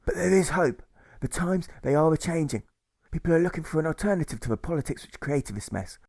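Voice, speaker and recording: A man puts on a genteel suffragist woman from a storybook. She declaims in a raised, high voice with impassioned flair. The sound is dry and close. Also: expressive, brisk, gravelly, darkish tone, no echo. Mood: hopeful. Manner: flamboyant, declamatory, speechifying.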